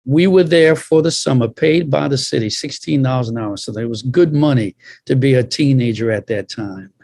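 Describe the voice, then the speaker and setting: Male panelist, sixties, dark relaxed tone with little room sound, deliberately recounting personal memories. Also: mid-range voice, vocal fry, no room sound. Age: 60s. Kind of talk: personal recollection in a panel discussion.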